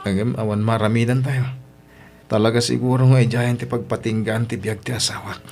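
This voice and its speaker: A man's voice: medium pitch, fairly even intonation, a little fast, creaky, noisy background, lightly acted. A man with an Ilocano accent playing an emotional husband and father in a radio drama, with emphatic, plaintive delivery. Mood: grieving, resigned.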